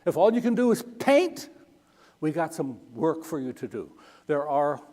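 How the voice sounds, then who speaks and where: A man's voice: on the high side, big pitch swings, normal speed, darkish tone. A middle-aged missionary man giving a folksy Sunday school talk, often checking in with "okay?". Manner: folksy, keeps asking "okay?". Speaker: missionary speaker. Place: church hall. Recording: long reverb of a large hall.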